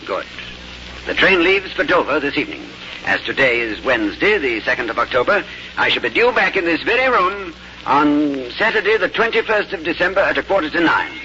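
An actor playing a fastidious, unflappable English gentleman with clipped, formal, exact speech in a radio drama. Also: on the high side, very animated, a little hiss or hum. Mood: coolly confident. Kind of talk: 1950s radio drama narration and acting. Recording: dull 1950s radio broadcast, music behind.

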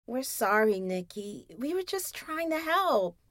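A woman's voice: medium pitch, lively intonation, a little slow, fairly bright tone, dry recording. A woman reading a storybook, voicing a wry, sassy teenage girl with a dry, teasing way of talking. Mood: earnest.

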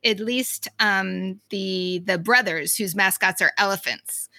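Gravelly Boston woman co-hosting a podcast. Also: medium pitch, slow, bright, forceful tone, no echo. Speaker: podcast co-host. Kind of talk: casual podcast chat.

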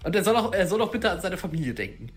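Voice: mid-range voice, big pitch swings, quick pace, faint music behind. A player in a tabletop roleplaying session over voice chat, speaking earnestly and hesitantly, often stammering. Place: online voice chat.

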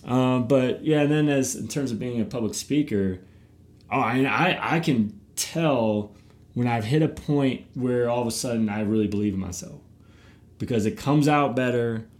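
A man's voice: medium pitch, moderate pace, a little mellow. A middle-aged man, host of a podcast and youth baseball coach, talking casually and animatedly, often repeating words as he starts a thought.

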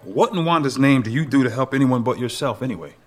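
Mid-pitched, unruffled young man, played by an actor. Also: lively intonation, fast talker, natural voice. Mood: dry. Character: steady, easygoing male family member, dutiful. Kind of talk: audio drama acting.

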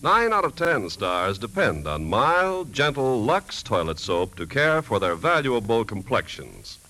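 A man delivering a scripted 1950s radio advertising pitch as announcer. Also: big pitch swings, measured pace, bright, forceful tone, low-quality recording. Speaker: commercial announcer. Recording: old 1950s radio broadcast.

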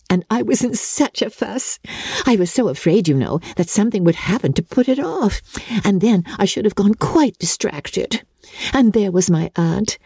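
A woman performs a giddy young newlywed woman in a classic storybook reading. She gushes and chatters, full of herself, with a pitch that leaps up and down. The sound is dry and close. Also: dark tone, slightly rough, no room sound, full character voice. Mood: giddy, chattering. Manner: gushing, breathless gossip with exclamations. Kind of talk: dramatic classic novel reading.

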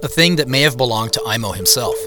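A man's voice: mid-range voice, animated, fast. Man narrating scripted audio over music. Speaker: writer, narrator and lead actor of an audio drama.

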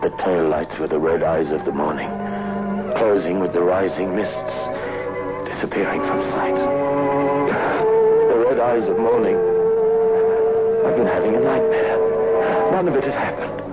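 An actor playing a haunted, frightened man losing his mind in a radio horror drama, with a halting, anguished delivery. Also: low voice, expressive. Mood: haunted, brooding. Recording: lo-fi old radio broadcast with music.

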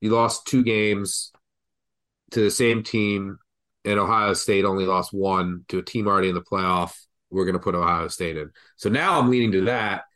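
A man's voice: sits low, steady tone, moderate pace, a bit bright. A man with a congested, stuffed-up voice from the flu, rambling through college football betting picks as a podcast co-host. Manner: rambling, thinks aloud with restarts. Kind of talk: casual betting analysis chat.

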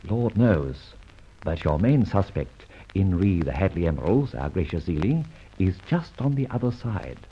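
A man playing a suave, cocky gentleman adventurer in an old radio serial, breezy and teasing with flourished phrases. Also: deep voice, big pitch swings, normal speed, slight background noise. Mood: conspiratorial. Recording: dull, muffled old radio recording.

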